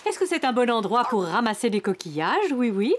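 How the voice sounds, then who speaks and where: A woman voicing a kindly skittle mother who is gentle with her little ones, in a French children's cartoon. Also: on the high side, very animated, fairly quick, heavy background noise.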